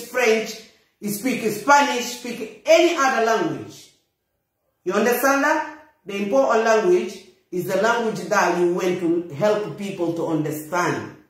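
A middle-aged woman with a Bissau-Guinean accent, delivering a blunt, indignant and emphatic confrontational monologue to a phone camera in a small room. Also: medium pitch. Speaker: vlogger. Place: small bare room indoors. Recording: very echoey room.

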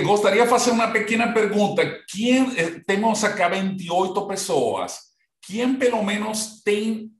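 A man in his forties from Venezuela with a Venezuelan Spanish accent, a real estate trainer speaking haltingly and repeating words, on a laptop mic during a video call. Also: high-pitched, a bit bright, roomy sound. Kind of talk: online training session.

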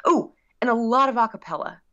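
A woman's voice: on the high side, expressive, a little fast, pushed and bright, highs cut off. A woman guest chatting casually in an interview about her work as a musician, rambling expressively with frequent asides.

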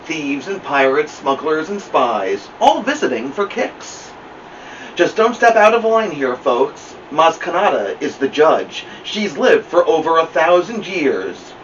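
Guy doing kids storytime, expressive slow reading, kinda far from the mic w some hiss. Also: fairly high pitch. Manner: deliberate, dramatic storytelling. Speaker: storytime host. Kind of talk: expressive children's picture-book reading. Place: indoor room at home, seated by a plant. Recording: muffled, noisy, distant camera mic.

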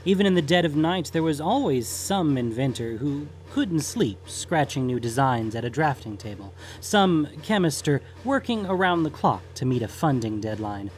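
A man's voice: a little high, sing-song, moderate pace, few pauses, a little hiss or hum. A man reading scripted fantasy fiction as the narrator of an audio story.

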